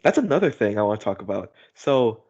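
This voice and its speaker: A man's voice: fairly low pitch, very animated, quick pace, a little mellow. A young man chatting casually and in a rambling, giggly way as a podcast host on a voice call. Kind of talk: casual chat. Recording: dull, lo-fi call audio.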